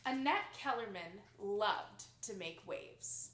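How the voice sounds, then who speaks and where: A young woman reads a children's picture book aloud with expressive, dramatic emphasis, recorded in a small room indoors. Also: mid-range voice, slow, slight background noise. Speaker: storybook reader. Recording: roomy home recording.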